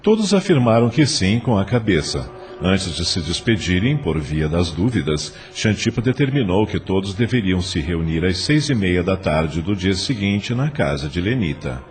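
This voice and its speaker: A man's voice: low-pitched, big pitch swings, normal speed, hardly any pauses. A man with a Brazilian accent narrating an audio novel as scripted, dramatic storytelling.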